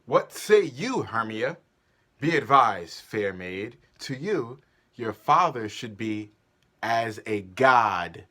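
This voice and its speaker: A man's voice: mid-range voice, very animated, slow pace, sharp tone, exaggerated voice. A man playing a grand, commanding duke in a stately, declamatory Shakespearean stage reading. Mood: grave. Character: grand, commanding male duke, measured and solemn.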